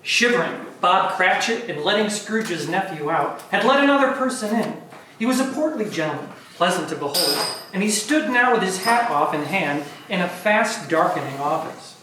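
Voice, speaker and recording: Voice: mid-range voice, animated, normal speed. A narrator giving a dramatic storytelling read for an amateur comic play. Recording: very echoey room, distant, clear noise.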